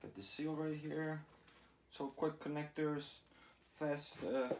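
A man's voice: fairly high pitch, flat delivery, slow, a little mellow, a little hiss or hum. A man in his thirties casually explaining each step of a home DIY setup in plain, matter-of-fact fragments, recorded in a garage workshop on a camera mic. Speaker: DIY how-to host.

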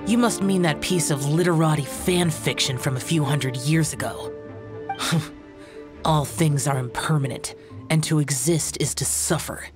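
A man's voice: on the high side, a little slow, music in the background, close to an everyday voice. An actor playing an aloof young male demon-hunting warrior in a video game, speaking in a formal, cold and grave way.

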